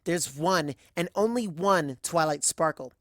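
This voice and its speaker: A woman plays a young female pony from a storybook, wounded and bitter. The voice sits low and accuses with sarcasm and rhetorical jabs. The sound is very dry. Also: animated, a little slow, no room sound. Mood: angry, hurt. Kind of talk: dramatised fan fiction reading.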